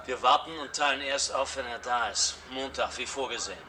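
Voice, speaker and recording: An actor playing a controlling heist ringleader in a radio drama, by turns soothing and firm. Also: male voice, medium pitch, brisk, far from the mic, a crowd in the background, lightly acted. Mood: firm, insistent. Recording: clearly noisy background with clicks.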